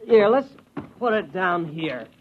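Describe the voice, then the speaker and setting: A man playing an eager, curious amateur hunter with an excitable, earnest manner in a 1950s radio comedy. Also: high-pitched, slow pace, heavy background noise. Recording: dull vintage radio recording.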